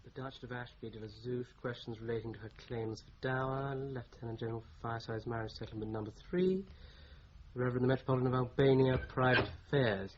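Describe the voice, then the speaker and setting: An actor playing a curious, courteous new solicitor in a radio drama, lightly wry, with an English accent. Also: male voice, medium pitch, steady tone, quick pace, natural voice. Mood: businesslike. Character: curious, polite newcomer at a stuffy solicitors' office. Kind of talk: 1980s radio drama acting. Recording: dull, noisy old radio broadcast.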